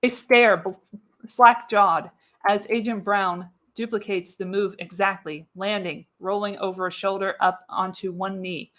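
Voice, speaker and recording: Female narrator, mid-pitched, scripted live reading, dramatic storytelling emphasis, radio play. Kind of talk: scripted narration, live read of screenplay action.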